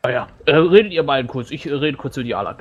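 A man's voice: medium pitch, lively intonation, fast, narrow, tinny audio, lightly acted. Man acting a muddled, fussing dwarf adventurer. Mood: muddled concern. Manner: stumbling, colloquial, fussing. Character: concerned, muddled, friendly male dwarf adventurer.

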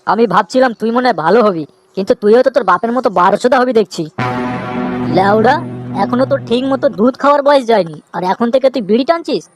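A man voicing a grumpy, scolding old village man in a comedy cartoon, with a pitch-raised voice. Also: fast, loud music behind the voice. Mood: indignant scolding. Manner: scolding, indignant. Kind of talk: amateur comedy cartoon dubbing.

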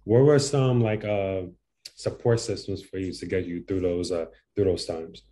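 A man's voice: low-pitched, fairly even intonation, moderate pace, mellow. A man hosting a casual video-call interview, asking friendly, conversational questions. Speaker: interview host.

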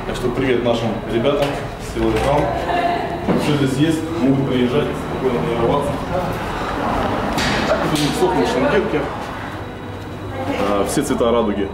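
A man's voice: mid-range voice, normal speed, long pauses, heavy background noise. A young man walking a gym with a camera, commenting casually as he thinks aloud, with fillers and restarts. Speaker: weightlifting vlogger touring a gym. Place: large gym hall indoors. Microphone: handheld camera at close range. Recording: echoey gym hall with loud music. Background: loud music.

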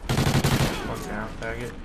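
A man's voice: on the low side, monotone, slow pace. A man chatting casually with teammates while playing a shooter, slangy and foul-mouthed. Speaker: gamer. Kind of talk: casual in-game chat with teammates. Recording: game chat with clear gunfire noise. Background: gunfire and game sounds.

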